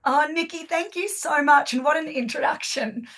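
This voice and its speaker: A woman's voice: sits high, normal speed, fairly bright tone. An Australian woman whose first language is Swiss German, telling her story with chatty enthusiasm as a guest in a podcast interview.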